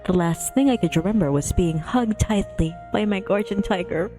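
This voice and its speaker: A woman's voice: mid-range voice, moderate pace, faint music behind. A woman livestreaming a visual novel, casually reading narration aloud with a playful manner. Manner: playful, excitable in asides.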